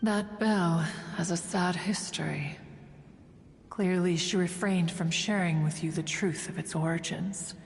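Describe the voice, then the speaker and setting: Aloof ancient immortal bird sage from a game, formal old-timey storytelling, long echo, some noise. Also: female voice, lowish voice, steady tone, a little slow. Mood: knowing, measured. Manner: formal, stately, archaic storytelling, refers to self as "one". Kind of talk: scripted video game dialogue.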